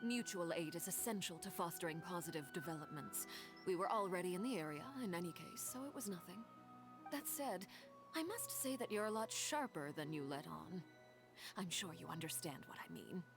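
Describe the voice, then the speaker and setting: A woman acting a calm, formal female agent of a powerful organization in a video game, over music. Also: on the low side, a little fast. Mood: composed, courteous. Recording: music behind the voice.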